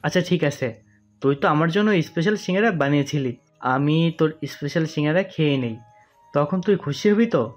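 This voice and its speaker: A man's voice: fairly high pitch, lively intonation, moderate pace, soft background music, close to an everyday voice. A man playing a sly, teasing young man in an audio romance story. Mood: smug, teasing. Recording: dull, muffled sound.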